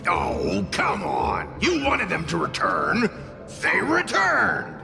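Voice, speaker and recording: A brash, sardonic little spirit speaks with a gravelly edge and takes its time over each jab. The delivery is theatrical video game acting, mischievous yet protective, over clear background music. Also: male voice, high-pitched, wildly swooping pitch, slow, raised voice, exaggerated voice. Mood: impatient, goading. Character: brash, mischievous male fox-tail spirit, protective and boastful.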